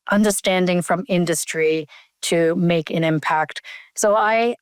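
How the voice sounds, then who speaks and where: A woman's voice: fairly low pitch, smooth, no room sound, clean studio sound. A woman from Canada, a company CEO, answering interview questions over a remote call, thoughtful with many fillers and restarts.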